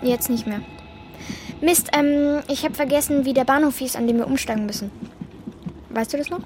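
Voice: high-pitched, normal speed, a little mellow, lightly acted. A young performer playing a frank, resolute girl with a blunt, reassuring manner in a German children's radio drama. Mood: frustrated. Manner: blunt, matter-of-fact, reassuring. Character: frank, resolute girl, the boy's protective companion.

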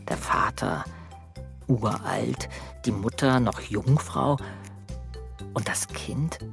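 An actor voicing a chatty, sly woodworm who narrates a children's radio play in a musing, creaky way. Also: male voice, mid-range voice, a bit bright, heavily acted. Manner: musing storyteller.

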